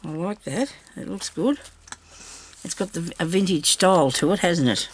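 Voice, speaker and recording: A woman with a slightly raspy voice, recovering from a sore throat, chatting casually to viewers and to herself as she crafts. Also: fairly low pitch, normal speed, slight background noise. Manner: chatty, talks to herself while working. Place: craft table indoors.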